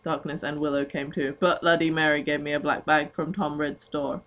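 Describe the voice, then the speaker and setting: A woman's voice: deep voice, flat delivery, fairly quick. A young woman doing a comedic dramatic reading of fan fiction, giggly and dropping in mocking asides as an amateur reader. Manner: giggly, frequently breaks into laughter, mocking asides.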